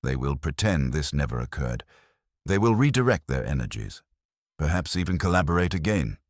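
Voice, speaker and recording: Man doing an imperious older detective, formal, precise, extremely deep, husky. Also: very animated, dry recording, studio quality, exaggerated voice. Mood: dry lecturing. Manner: precise, measured, formal diction.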